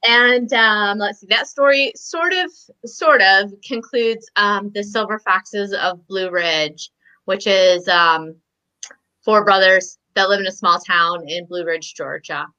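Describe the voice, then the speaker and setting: A woman's voice: medium pitch, takes it slowly, sharp tone, clear, resonant tone, highs cut off. A woman from Michigan, a guest author chatting casually on a video call, talking with lots of "um"s and small laughs. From Michigan, USA. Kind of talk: casual podcast chat.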